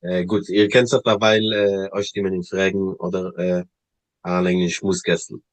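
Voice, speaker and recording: A man hosting an informal interview, speaking haltingly and searching for words as he puts questions and announcements. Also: low-pitched, flat delivery, no echo. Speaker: interviewer and event host.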